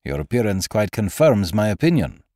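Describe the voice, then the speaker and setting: A man voicing a grave, gentle gentleman doctor with a grim, measured authority in an audiobook. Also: on the low side, very animated, no echo, clean studio sound, voice pushed up. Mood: courteous, firm. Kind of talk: audiobook character acting.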